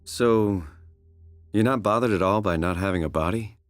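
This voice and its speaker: A man's voice: fairly low pitch, moderate pace, soft background music, lightly acted. An actor voicing a questioning, earnest everyman hero in a video game, talking in a casual, wry way. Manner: casual, inquisitive.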